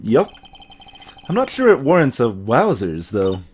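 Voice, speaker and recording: A man's voice: medium pitch, huge pitch swings, measured pace, darkish tone, a little hiss or hum, voice pushed down. A man voicing a dry, skeptical young male lawyer in a video game, wry and understated in wording.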